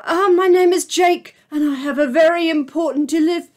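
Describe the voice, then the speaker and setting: A woman's voice: extremely high pitch, moderate pace, darkish tone, voice pushed up. A woman voicing a flustered, anxious young boy. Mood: urgent.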